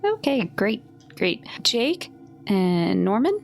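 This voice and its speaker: A woman's voice: mid-range voice, very animated, slow, vocal fry, faint music behind. A woman playing a tabletop game, talking casually in character with a blunt, wry, informal manner.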